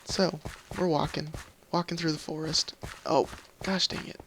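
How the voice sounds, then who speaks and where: A man's voice: on the high side, flat delivery, a little slow, gravelly. A man with a tired, slightly scratchy voice giving casual, rambling, apologetic video game commentary, thinking aloud as he plays. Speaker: host of a gaming video series. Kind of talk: casual Minecraft let's play commentary.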